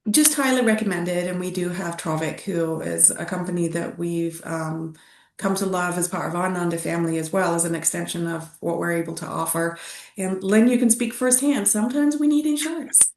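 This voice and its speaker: A woman's voice: fairly low pitch, steady tone, moderate pace, a little mellow. Upbeat woman, distant roomy sound. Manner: upbeat, laughing, frequent affirming murmurs. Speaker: travel company host. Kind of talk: casual webinar chat.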